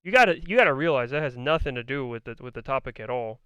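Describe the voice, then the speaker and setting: A man giving blunt, argumentative, profane commentary, repeating his points, his voice going hoarse. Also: mid-range voice, expressive, quick pace, pushed and bright, dry recording, dull audio. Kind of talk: ranting commentary on a debate.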